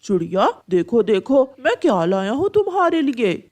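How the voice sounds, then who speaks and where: A woman's voice: fairly high pitch, very animated, normal speed, a little mellow. A woman voicing a kindly, generous male pigeon in a cartoon, friendly and eager.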